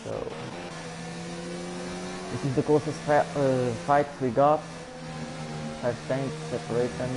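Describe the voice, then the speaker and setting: A man's voice: medium pitch, fairly even intonation, takes it slowly, pauses often, noisy background. A man from Portugal with a Portuguese accent, casually commentating a live online race and chatting with viewers. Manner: non-native English, loose and chatty, talks to viewers. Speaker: sim racing commentator. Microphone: home streaming mic.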